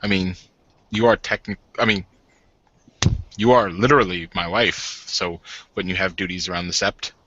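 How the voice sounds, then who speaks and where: A man's voice: low voice, steady tone, normal speed, long pauses, bright, forceful tone, dull audio, a little hiss or hum, voice pushed down. A man playing a hesitant, awkward, reassuring new husband in a roleplaying game. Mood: hesitant, wary.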